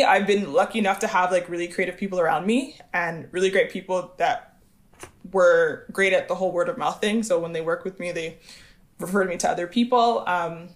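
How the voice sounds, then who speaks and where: Chatty woman designer from Montreal. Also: mid-range voice. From Montreal, Canada. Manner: friendly, laughs often, hedging fillers. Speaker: designer, podcast guest. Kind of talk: casual chat.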